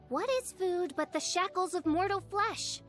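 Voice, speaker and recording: Game role: a high, theatrical girl princess, grandiose and archaic in speech, light music behind. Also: fairly bright tone, full character voice. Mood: haughty. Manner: pompous archaic royal speech, melodramatic. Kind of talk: scripted game character voice lines.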